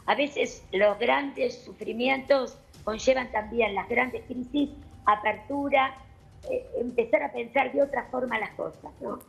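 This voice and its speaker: A woman doctor with an Argentine accent, explaining calmly and methodically on a television panel. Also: fairly high pitch, creaky, low-quality recording. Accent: Argentine Spanish. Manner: measured, explanatory, addresses host formally.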